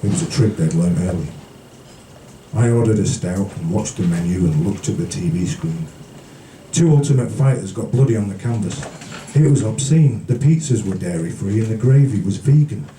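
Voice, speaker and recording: A man from the British Isles reading poetry live at a café event in a measured storytelling manner, with brief casual asides. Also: fairly low pitch. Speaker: featured poet. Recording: roomy, distant, noisy live recording.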